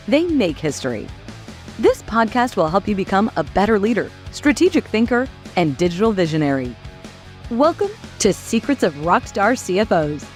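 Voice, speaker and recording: A woman reads scripted promotional narration as a show announcer. Her delivery is animated, with lively pitch movement at a middle register, and music plays faintly underneath. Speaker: podcast intro announcer.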